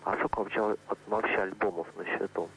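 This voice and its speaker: A man calling in to a radio show, asking questions haltingly with many fillers. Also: lowish voice, fairly even intonation, creaky, a bit bright, a little hiss or hum, poor audio. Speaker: caller. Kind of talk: phone-in question.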